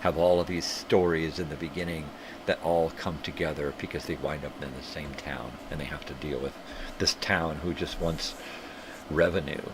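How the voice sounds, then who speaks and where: A man's voice: extremely low pitch, fairly even intonation, normal speed. An older man hosting a live stream, rambling through casual, profane tangents while sniffly from a cold. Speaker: livestream host. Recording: clear background hum.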